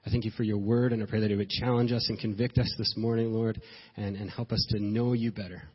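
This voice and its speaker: A male pastor preaching while sick with a cold, sounding nasal and stuffed up, speaking earnestly with slow emphasis and halting restarts. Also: on the low side, level tone, slightly rough. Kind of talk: sermon. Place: church sanctuary. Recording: dull sound with long hall reverb.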